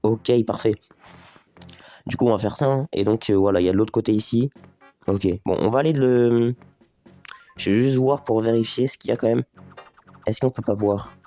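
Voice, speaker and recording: A man with a slightly stuffy voice from a cold talks his way through a video game, speaking straight to his viewers and thinking aloud. His voice sits low and warm. Faint music plays behind him. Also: moderate pace, long pauses. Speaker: gaming video host. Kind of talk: casual let's play commentary.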